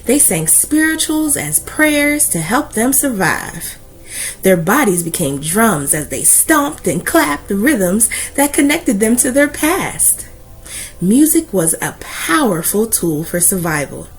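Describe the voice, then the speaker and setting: A woman reading a children's book aloud as host, with deliberate, emphatic phrasing and an expressive storytelling delivery. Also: mid-range voice.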